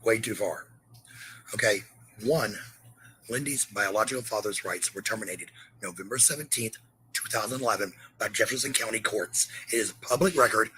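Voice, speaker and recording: A man with a sharp, forceful voice delivers an angry livestream rant. He is combative, swears heavily and hammers phrases by repeating them. There is some light noise behind him. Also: on the low side, normal speed, rough recording. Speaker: parent hosting a livestream.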